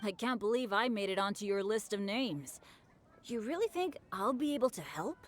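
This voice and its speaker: A woman's voice: medium pitch, expressive, moderate pace, slight background noise, natural voice. A woman voicing an earnest, eager and humble teenage boy adventurer in a video game. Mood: surprised, hopeful. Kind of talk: English video game voice acting.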